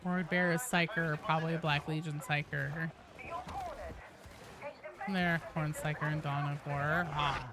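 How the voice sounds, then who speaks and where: Woman chatting casually over clear background noise. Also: sits low, level tone, measured pace, long pauses. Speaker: game livestreamer chatting with viewers. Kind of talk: casual rambling chat.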